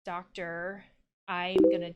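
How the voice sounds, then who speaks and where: A woman's voice: fairly low pitch, little pitch movement, takes it slowly, dull audio. A woman playing a video game as a counselor, reading lines aloud and giving analytical, bluntly exasperated commentary in a casual way. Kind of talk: let's-play commentary, reading dialogue aloud and reacting.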